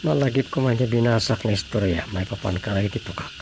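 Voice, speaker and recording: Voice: mid-range voice, fast talker, noisy background, lightly acted. An actor with an Ilocano accent playing a musing, muttering reader in a comic radio drama, rambling with sighs and interjections. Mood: rambling wonder. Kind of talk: radio drama comedy monologue.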